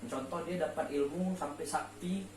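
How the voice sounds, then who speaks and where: A man's voice: a little high, moderate pace. A man chatting casually and explaining, asking rhetorical questions and repeating key words for emphasis. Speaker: main speaker on a late-night discussion show. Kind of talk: casual explanatory chat on faith and life. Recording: roomy echo, distant mic, faint noise.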